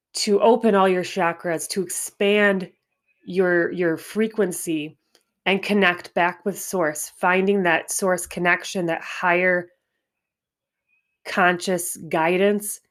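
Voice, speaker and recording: A woman with a congested, stuffy nose hosts her own wellness podcast. Her voice sits a little low, and she speaks very slowly and thoughtfully, often circling back to restart a phrase. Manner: unhurried, reflective, with frequent restarts. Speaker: podcast host. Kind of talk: spiritual wellness monologue.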